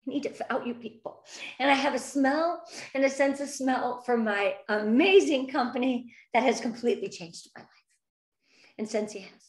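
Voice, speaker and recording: A woman in her fifties talks with effusive, earnest warmth and a restless nervous energy, her voice sitting a little high. She is heard over a video call, and the room around her gives it a noticeable echo. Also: moderate pace. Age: 50s. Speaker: interview guest. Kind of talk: emotional heartfelt interview.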